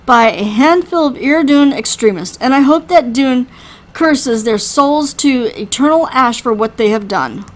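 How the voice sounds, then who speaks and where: Woman reading as stern, measured male healer. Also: medium pitch, warm tone, close to an everyday voice. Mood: angry. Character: grave male healer, stern, bitter but caring. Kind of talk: visual novel read aloud in character.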